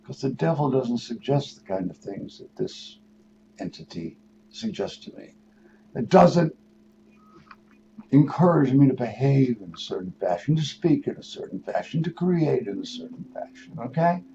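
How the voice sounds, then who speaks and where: An older man, in his sixties or beyond, hosting a radio show with a rambling spiritual monologue, musing and halting, then leaning into words for emphasis. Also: medium pitch, very animated, vocal fry. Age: 60s or older. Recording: dull lo-fi radio audio with noise.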